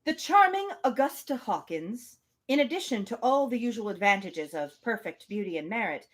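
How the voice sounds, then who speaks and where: Lively, higher-pitched woman reading aloud. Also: normal speed. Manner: expressive reading, informal amused commentary. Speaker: host reading a novel on a livestream. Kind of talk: live book reading with casual asides.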